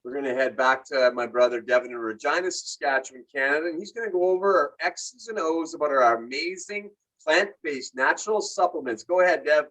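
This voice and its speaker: A man co-hosting, making brisk, upbeat handoffs. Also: a little high, fairly bright tone, rough recording. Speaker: co-host handing over segments.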